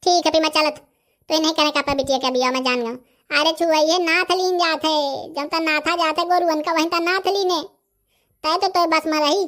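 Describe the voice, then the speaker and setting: A man voicing a bossy, sly village holy man in a comedy cartoon, with a Bundelkhandi accent and artificially raised pitch. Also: steady tone, moderate pace, pushed and bright. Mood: bossy, smug. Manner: pompous, instructing, brusque. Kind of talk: rustic comedy cartoon dialogue.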